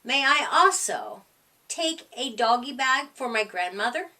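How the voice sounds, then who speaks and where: A woman's voice: fairly high pitch, lively intonation, a little slow, bright, forceful tone, recorded from a distance. A woman voicing a small, timid, polite female hedgehog in a storybook, with earnest, simple phrasing. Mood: shy, polite.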